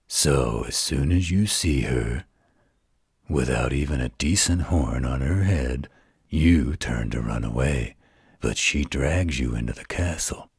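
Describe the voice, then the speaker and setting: A man playing a gentle, patient werewolf who tells a bedtime story in a soothing, tender manner. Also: very deep voice, takes it slowly, gravelly, breathy edge, no room sound, studio quality, exaggerated voice. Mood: soothing storytelling. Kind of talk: intimate bedtime roleplay storytelling.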